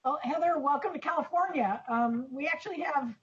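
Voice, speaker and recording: A woman's voice: sits high, a little fast. A woman board representative speaking in a friendly, chatty way on a video call. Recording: dull lo-fi video call audio.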